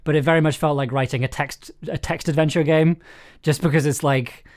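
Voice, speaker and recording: A man hosting a casual script discussion, thinking aloud in a halting way and repeating words as he explains. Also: a little high, fairly even intonation. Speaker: audio drama writer and director hosting a livestream.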